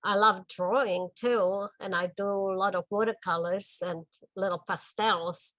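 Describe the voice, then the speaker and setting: An older woman from the Czech Republic with a Czech accent, talking about her art in a documentary interview in her home studio, gentle and thoughtful with small pauses. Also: medium pitch, steady tone, no room sound. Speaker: artist.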